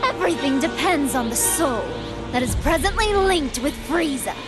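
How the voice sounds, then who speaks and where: A woman voicing a playful, sinister villain in a video game, with a sugary, taunting, drawn-out delivery. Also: sits high, lively intonation. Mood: gleeful taunting. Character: gleeful, sweet-toothed female villain, playful and sinister. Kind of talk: theatrical video game voice acting. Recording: loud music behind the voice.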